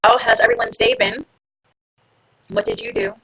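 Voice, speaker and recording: A woman's voice: a bass voice, wildly swooping pitch, moderate pace, creaky, pushed and bright, slightly rough, poor audio. A woman hosting a live stream from home, chatting casually and playfully with her viewers and teasing herself. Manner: chatty, playful, self-mocking. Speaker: livestream host.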